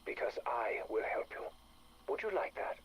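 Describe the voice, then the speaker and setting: An actor playing a calm male scientist who logs his work in a measured, clinical way and speaks gently to his creation. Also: a little hiss or hum, lightly acted, poor audio.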